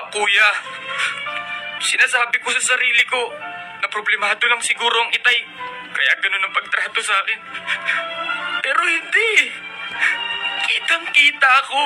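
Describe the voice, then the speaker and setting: A man's voice: very high voice, poor audio. A man playing a hurt, resentful college-age younger brother in an emotional radio drama, with plaintive delivery. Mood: anguished, pleading. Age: young adult. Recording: radio drama broadcast under loud music. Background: music.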